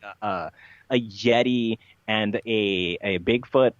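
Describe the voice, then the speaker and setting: A man's voice: on the low side, slow pace, no echo, lo-fi sound. A man being interviewed in a casual conversation, telling things in a rambling, matter-of-fact way. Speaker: podcast guest, interviewee.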